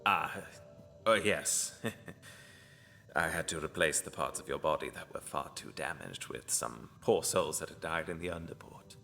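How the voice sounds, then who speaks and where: An actor playing an apologetic, macabre cult tailor who stitches corpses, speaking haltingly in an audio drama. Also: male voice, very deep voice, creaky, sharp tone, soft background music, full character voice. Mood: nervously explaining.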